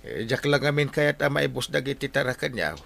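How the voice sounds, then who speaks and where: Man playing a gravelly, meddling Ilocano village captain. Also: medium pitch, animated, fairly quick, fairly bright tone. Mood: scolding, teasing. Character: meddling, scolding male village captain, comic. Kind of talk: comedic barangay radio drama acting.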